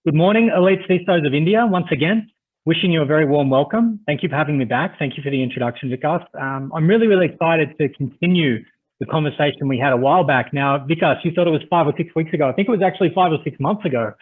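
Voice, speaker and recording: A man's voice: a little high, fast. A man with an Australian accent giving a friendly, chatty webinar presentation over a video call, with frequent "uh" fillers. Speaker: conference presenter. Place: home office on a video call. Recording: tinny phone-like video call audio.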